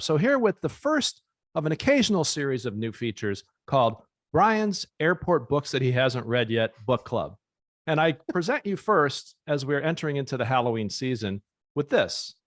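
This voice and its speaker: A man's voice: mid-range voice, no room sound, clean studio sound. An American man chatting casually as a podcast host, with a wry, playfully showy way of presenting. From United States.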